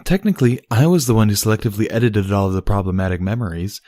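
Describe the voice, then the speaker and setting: A man voicing a female immortal pony princess, a formal and dutiful younger sister who argues in measured, stilted diction in a storybook reading. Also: on the low side, dark tone, no echo, studio quality, natural voice. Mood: arguing.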